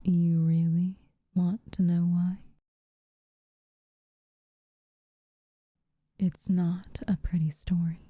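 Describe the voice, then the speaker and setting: Woman acting as a hushed, mellow, aloof witch. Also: on the low side, flat delivery, dry recording, studio quality. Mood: somber, matter-of-fact. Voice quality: soft, breathy, often near a whisper. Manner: unhurried, hushed, dryly teasing. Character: aloof, teasing, secretly caring young witch woman. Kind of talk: ASMR roleplay, soft intimate character acting.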